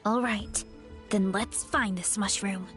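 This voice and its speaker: A woman's voice: mid-range voice, steady tone, slow, natural voice. A woman playing a cheerful, easygoing young heroine in an anime-style video game, with a casual, earnest way of talking. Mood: easygoing, curious. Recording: music behind the voice.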